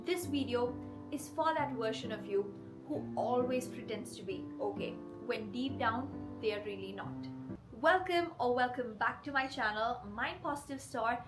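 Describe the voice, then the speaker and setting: A woman with a cold that leaves her voice hoarse and rough, hosting her channel and talking earnestly and directly to her viewers. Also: on the high side, low-quality recording. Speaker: channel host. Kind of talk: confessional vlog talk to camera.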